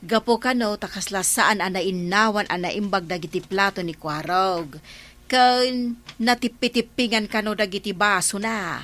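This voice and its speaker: A woman as a bright-voiced Ilocano village gossip. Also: medium pitch, normal speed, lightly acted. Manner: chatty, exclamatory. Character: gossipy village woman passing on neighbourhood hearsay. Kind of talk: Ilocano comedy radio drama acting.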